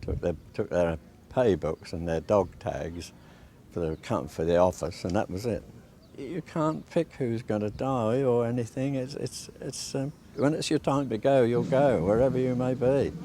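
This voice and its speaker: A man in his eighties, a war veteran, plainly and haltingly recollecting his service in an interview. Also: mid-range voice. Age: 80s. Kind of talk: personal recollection. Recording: loud background noise, clicks.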